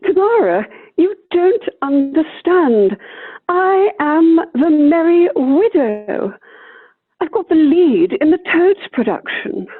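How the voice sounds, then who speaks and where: A woman's voice: extremely high pitch, very animated, exaggerated voice. A woman playing a gushing, theatrical widow and amateur actress in a radio play, with affected, effusive delivery. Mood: gleeful, boastful. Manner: affected, effusive, drawn-out darlings. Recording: tinny, phone-like video call line.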